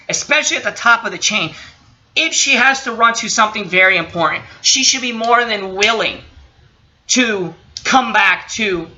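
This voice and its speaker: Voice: medium pitch, pushed and bright, lo-fi sound. An indignant commentator giving impromptu, opinionated reactions, swearing and stressing points emphatically. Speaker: commentator reacting to a recorded call.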